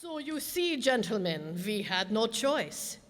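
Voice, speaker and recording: An actress playing a scheming onion leader in a radio play, formal, condescending and falsely courteous. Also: female voice, fairly high pitch, very animated, slow pace. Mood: courteous, coldly reasoning. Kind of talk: stage radio drama acting. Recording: reverberant hall, stage performance.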